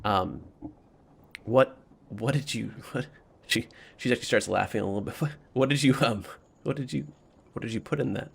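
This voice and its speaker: A man runs a tabletop game as game master, narrating casually to his players. His mid-pitched voice has a strong gravelly creak. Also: moderate pace.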